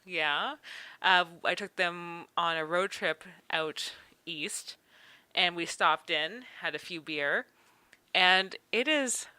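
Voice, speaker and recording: A woman co-hosting a casual beer podcast, chatting in an animated way with frequent restarts. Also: on the low side, slow, clear, resonant tone, dry recording. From Canada. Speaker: podcast co-host. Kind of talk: casual chat.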